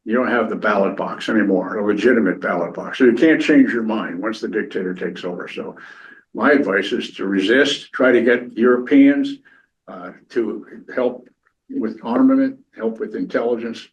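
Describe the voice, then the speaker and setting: A man's voice: lowish voice, normal speed, poor audio. An older man, a retired navy captain, answering audience questions at a lecture and often repeating words as he starts a sentence.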